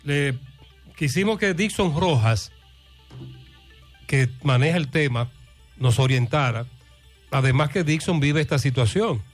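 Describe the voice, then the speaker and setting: Male host, fairly high voice, conversational. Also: animated, measured pace, long pauses, faint music behind. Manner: conversational, moderating. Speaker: radio host.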